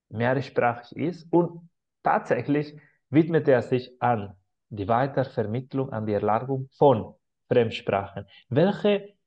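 Man hosting, in dull lo-fi audio. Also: medium pitch. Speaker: podcast host, interviewer. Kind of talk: podcast interview. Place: online video call. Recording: dull lo-fi video call audio.

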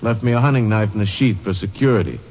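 A man's voice: lowish voice, fast talker, close to an everyday voice. Guy doing a defensive gas station man, old radio play, muffled hissy sound with long echo. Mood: explaining. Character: wary gas station owner suspect, man. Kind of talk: 1940s radio drama acting. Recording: dull old radio recording with hiss.

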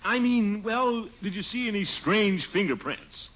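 An actor playing a pompous, easily flustered middle-aged police commissioner in 1940s radio comedy, with a blustery, exclaiming manner. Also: male voice, sits high, expressive, unhurried. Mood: bumbling, polite. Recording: muffled, noisy 1940s radio recording.

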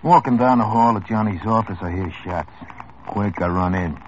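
An actor playing a small-time hoodlum in an old radio drama, tough, wry and world-weary. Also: male voice, fairly low pitch, moderate pace, a little hiss or hum, close to an everyday voice. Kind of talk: 1950s radio drama acting. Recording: muffled old radio broadcast.

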